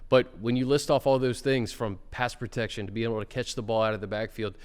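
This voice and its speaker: Man, mid pitch, clear voice, podcast host, casual chat, long reverb. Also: fairly even intonation, fast. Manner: conversational, asks questions, fillers like uh and um. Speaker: show host. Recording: reverberant room sound.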